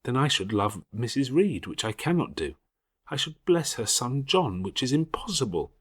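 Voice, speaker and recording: A man's voice: medium pitch, sing-song, normal speed, slightly rough, pitched above the performer's own voice. A man voicing a passionate, defiant young girl in an audiobook, speaking urgently and emphatically in formal Victorian diction. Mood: bitter. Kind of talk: audiobook character acting.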